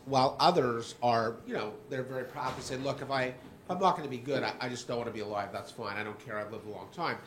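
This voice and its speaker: Dude rambling through a question at a panel, you know, like, faint hum behind. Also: male voice, mid-range voice.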